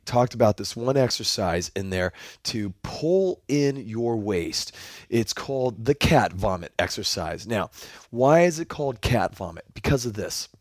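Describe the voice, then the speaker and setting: A man hosting his own podcast, talking solo in an upbeat, enthusiastic coaching tone, his voice hoarse. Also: fairly low pitch, darkish tone, dry recording, studio quality. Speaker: podcast host.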